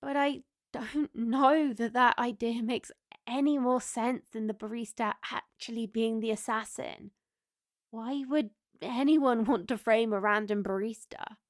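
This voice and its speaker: A woman's voice: a little high, fairly even intonation, a little slow, dry recording, studio quality, lightly acted. A woman acting a skeptical, questioning cafe customer in a fantasy audio drama. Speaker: voice actor in a fiction podcast.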